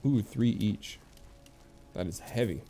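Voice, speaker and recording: A man with a fairly deep, relaxed voice streams a game. He comments casually and in a low-key way, half to himself, with game music underneath. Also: slow pace, gravelly. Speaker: game livestreamer.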